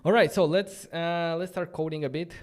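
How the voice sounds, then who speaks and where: A man's voice: fairly high pitch, fairly even intonation, normal speed, a little mellow. A man with a Romanian accent, a non-native English speaker, hosting a casual live-coding stream and thinking aloud in a loose, chatty way full of fillers. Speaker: host of a coding livestream. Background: faint background music.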